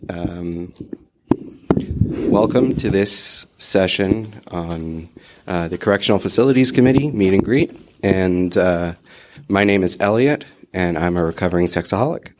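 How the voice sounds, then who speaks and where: A man with a very low voice leads a support group session into a handheld mic. He talks haltingly, pausing on fillers as he works through the meeting format. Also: noisy background. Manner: hesitant, many filler ums. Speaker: meeting leader. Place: meeting room. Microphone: handheld microphone passed around.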